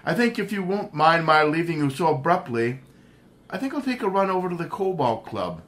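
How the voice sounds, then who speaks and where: Brief: man doing a genteel gentleman hero, lively pitch, assured, old-time radio. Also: brisk. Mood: breezy. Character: suave wealthy male crime-fighter, calm and assured. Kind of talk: 1930s radio drama acting.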